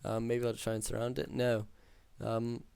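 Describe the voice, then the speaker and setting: A man calling a strategy game match alone, talking through plays with many ums, his voice hoarse after hours of casting. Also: medium pitch, normal speed, dry recording. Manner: steady, filler-heavy analytical play-by-play. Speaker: game caster. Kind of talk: solo esports play-by-play commentary.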